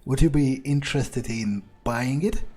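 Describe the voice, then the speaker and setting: A man's voice: medium pitch, unhurried, a little mellow, breathy edge. A man role-playing his character in a video game playthrough, thinking aloud in a musing, hesitant, sardonic way. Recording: faint background game ambience.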